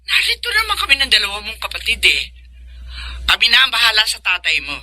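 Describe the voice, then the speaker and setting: A woman's voice: on the high side, wildly swooping pitch, quick pace, sharp tone, dry recording, heavily acted. An actress playing a concerned, protective middle-aged mother in a Filipino radio drama, earnestly explaining. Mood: pleading.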